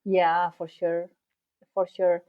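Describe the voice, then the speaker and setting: A woman from Spain, a guest answering interview questions in thoughtful, slightly halting English. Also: on the low side, completely flat delivery, phone-quality sound. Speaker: podcast guest, bike traveller.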